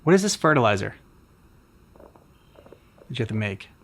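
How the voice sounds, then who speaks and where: A man's voice: mid-range voice, big pitch swings, normal speed, a bit bright. A man hosting a reaction video, commenting casually on a game as it plays. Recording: game music underneath. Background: game music from the video being watched.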